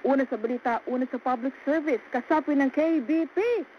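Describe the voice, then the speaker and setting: A woman's voice: high-pitched, brisk. A woman with a Filipino accent anchoring live radio news coverage. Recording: muffled, noisy radio broadcast.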